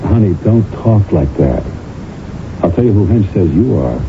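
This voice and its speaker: A low male voice comes through a thin, noisy radio signal. a protective husband in a drama, halting and pleading, caught between tenderness and exasperation. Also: expressive. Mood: gentle, concerned. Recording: tinny, hissy old radio broadcast.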